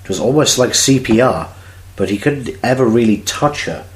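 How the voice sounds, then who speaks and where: A man's voice: medium pitch, animated, moderate pace, roomy sound, rough recording. A man reading scripted story narration for an audio drama. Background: music.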